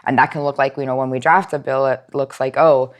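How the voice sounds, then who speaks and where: A woman's voice: extremely low pitch, fairly even intonation, fairly quick. An American woman speaking as an interview guest, explaining her nonprofit work in an informal, conversational way with many casual asides.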